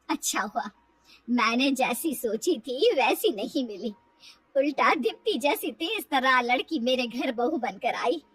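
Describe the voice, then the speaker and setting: High, proud mother-in-law voiced by a woman. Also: a bit bright, slightly rough, no echo, distant mic, heavily acted. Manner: earnest, defending. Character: proud, protective mother-in-law, middle-aged or older.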